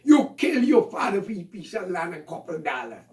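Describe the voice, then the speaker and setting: A man holds forth in a heated, accusatory monologue, lecturing his listeners like a preacher. His voice sits high and leaps all over the place. He sounds a little distant from the mic, with a roomy echo around him. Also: very animated, normal speed, dark tone. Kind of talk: impassioned monologue.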